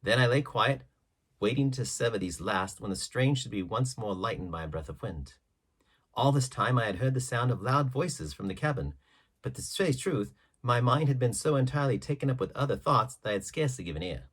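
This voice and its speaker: A man narrates a literary adventure tale as an audiobook reader. His voice sits at a medium pitch and has a husky, unpolished grain to it. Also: animated, brisk, a bit bright.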